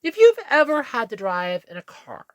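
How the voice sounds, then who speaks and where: A woman's voice: fairly high pitch, huge pitch swings, normal speed, no room sound, studio quality. Stuffy-nosed woman rambling to camera. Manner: meandering, self-correcting, candid. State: stuffy nose. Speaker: vlogger. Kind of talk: casual rambling monologue.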